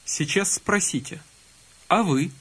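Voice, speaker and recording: A man narrating a scripted language lesson, calmly prompting the listener to repeat phrases. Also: sits high, takes it slowly, breathy edge. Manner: calm instructive prompting. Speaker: language course instructor.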